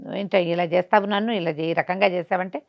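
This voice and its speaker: A woman's voice: lowish voice, steady tone, fast, gravelly, dry recording, dull audio. Folk-tale role: a woman as a troubled young bride, questioning, protesting, standing firm. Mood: reproachful. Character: distressed, resolute young wife.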